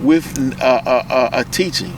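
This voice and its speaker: A man's voice: mid-range voice, animated, normal speed. A man from the United States hosting a casual interview, agreeable and chiming in with short affirmations. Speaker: radio show host, interviewer. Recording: distant mic with loud street and car noise.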